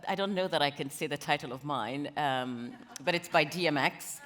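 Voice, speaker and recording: A woman's voice: low-pitched, normal speed, fairly bright tone. A woman from Kenya speaking conversationally as an interviewee on a panel. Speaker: interviewee, company talent executive. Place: conference stage in a large hall. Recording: reverberant hall, stage event.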